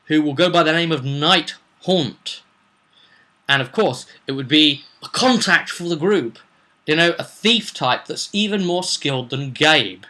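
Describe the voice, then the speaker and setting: A man informally recapping a tabletop roleplay session as game master, rambling and animated as he tells the story. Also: fairly high pitch. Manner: rambling, animated, acts out quotes.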